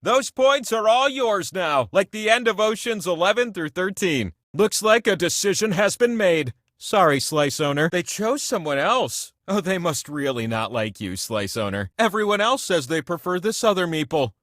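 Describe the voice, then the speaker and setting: A male actor voicing a theatrical, excitable talking prize wheel that hosts a video game, with gushing, dramatic delivery. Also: sits high, moderate pace, hardly any pauses, dry recording, studio quality. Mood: delighted. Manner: gushing, theatrical, swings between thrilled and mock-dismayed. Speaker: game show host. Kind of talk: animated video game character voice lines.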